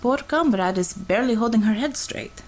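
A woman's voice: on the high side, animated, moderate pace, lightly acted. A woman voicing a brash, tough Russian commissar with a put-on Russian accent, speaking bluntly in clipped phrases without articles, read as an audiobook character.

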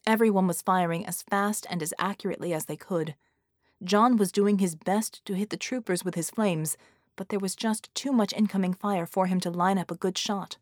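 A woman's voice: medium pitch, no room sound, studio quality. A woman reading an action story as an audiobook narrator, in a dramatic scripted style.

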